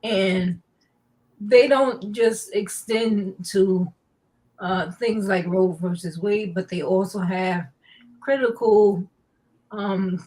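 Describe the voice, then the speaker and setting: A woman hosting a live online talk show, slow and halting with many fillers, her nose stuffy. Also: mid-range voice, steady tone, darkish tone, slight background noise, low-quality recording. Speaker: host.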